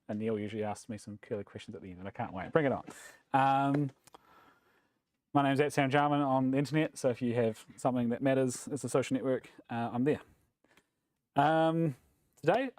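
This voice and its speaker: A man from New Zealand with a New Zealand accent, giving a relaxed, self-deprecating talk at a developer meetup, with plenty of ums and uhs. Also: medium pitch, no room sound. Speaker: conference speaker. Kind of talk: casual tech meetup talk. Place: meetup room.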